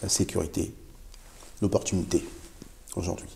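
A man's voice: low voice, little pitch movement, normal speed, vocal fry, warm tone. A middle-aged man answering interview questions as a company boss, emphatically repeating key phrases. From Africa. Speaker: company CEO, interview guest. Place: indoor showroom with product shelves.

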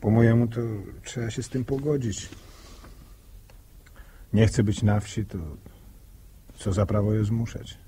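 A man's voice: lowish voice, steady tone, measured pace, pauses often, dark tone, close to an everyday voice. An actor playing a modest, kindly, hesitant young countryman in a 1960s radio drama. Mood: thoughtful, warm. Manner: hesitant, repeats words, rural phrasing. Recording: noisy old 1960 radio recording.

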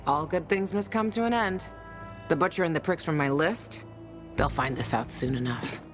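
A woman playing a tough female survivor in a video game, with a distinctly low voice. She is blunt, jaded and sardonic. Music plays behind her. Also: normal speed, natural voice. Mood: bitter, frustrated. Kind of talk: video game dramatic acting.

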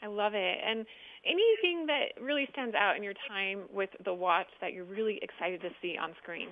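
A woman's voice: mid-range voice, moderate pace, phone-quality sound. An American woman presenting and interviewing in a local television style.

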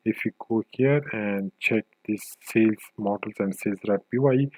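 Low-voiced man narrating a coding tutorial. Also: moderate pace, a little mellow, no room sound, low-quality recording. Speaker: software tutorial instructor.

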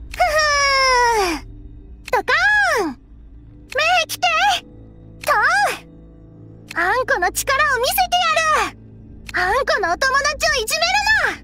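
A woman's voice: very high voice, heavily acted. A woman voicing a cheerful, playful little girl from an anime video game, with a cutesy, childlike way of talking. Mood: fierce, excited. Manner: bubbly, refers to herself by name in childish speech. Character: cheerful, sweet, playful little girl adventurer with sheep companions. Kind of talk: cute Japanese anime game voice acting. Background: background game music.

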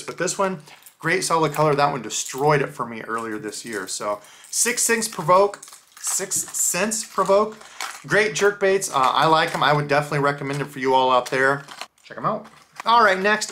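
A man in his thirties talks through fishing gear in a relaxed, chatty way, full of little fillers. His voice sits a bit high for a man and sounds bright and forward. He is recorded close up at a desk in a small room. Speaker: fishing channel host. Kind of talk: casual lure unboxing review. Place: small indoor room with lures on the wall.

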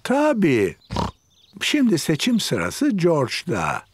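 A man voicing a kindly, patient old grandfather pig in a cartoon, jolly and drawn-out in delivery. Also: a little high, huge pitch swings, slightly rough, no room sound, exaggerated voice.

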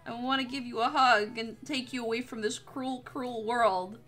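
A woman's voice: fairly high pitch, steady tone, normal speed, a bit bright, faint music behind. A young woman streamer chatting casually while she draws, rambling and jokey with plenty of swearing. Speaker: streamer, artist. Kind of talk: casual livestream chat while drawing.

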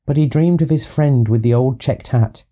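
A man's voice: medium pitch, normal speed, warm tone. A man narrating a children's audiobook, reading rhyming verse rhythmically and expressively. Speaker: storyteller reading to young children.

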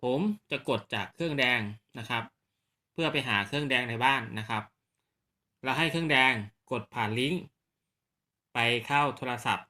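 Casting: man, very bright forceful voice, polite casual gear demonstrator who checks in with viewers. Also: medium pitch, normal speed, pauses often. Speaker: presenter of radio gear demo on his own video channel. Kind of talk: casual hands-on product demonstration.

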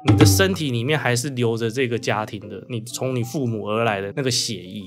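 A man's voice: medium pitch, a little fast. A man from Taiwan with a Taiwanese Mandarin accent, talking casually as a guest novelist in a radio interview. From Kaohsiung, Taiwan. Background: background music.

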